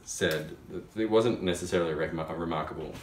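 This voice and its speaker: A man's voice: sits low, a little fast, distant mic. A young man hosting a casual cooking vlog, chatty and rambling as he tells stories while he cooks. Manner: chatty, rambling storyteller with many fillers. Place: small home kitchen. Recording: echoey kitchen, voice off-mic, some noise.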